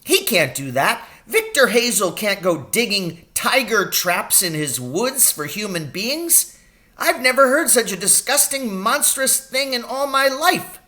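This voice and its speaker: A man voicing an excitable, kindly little old country doctor in a storybook, animated and full of relish. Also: sits high, a little slow, voice pushed up, exaggerated voice. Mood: outraged.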